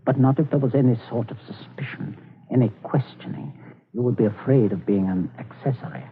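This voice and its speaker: An actor playing a ruthless middle-aged father who calmly threatens a man at gunpoint, in 1940s radio drama style. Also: mid-range voice, brisk, a little hiss or hum, natural voice. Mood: menacing calm. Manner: calm, clipped, coldly threatening. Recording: tinny old radio broadcast.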